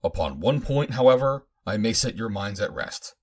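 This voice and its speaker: A man voicing an earnest, resolute male adventure hero in an audiobook, firm, deliberate and declamatory. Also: on the low side, big pitch swings, a little mellow. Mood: firm, revealing.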